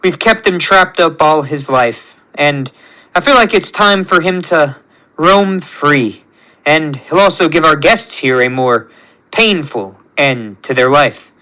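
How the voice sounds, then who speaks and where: A megalomaniac god-villain, performed by a male storyteller, pompous and full of contempt. The voice sits high and bright and swings widely as he sneers at everyone beneath him. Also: animated, slow, full character voice, rough recording. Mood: coldly commanding.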